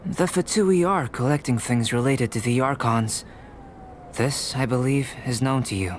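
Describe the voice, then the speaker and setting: A man playing a calm, analytical young alchemist in a video game, speaking in a measured, precise and formal way. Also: mid-range voice, noisy background, natural voice. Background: music.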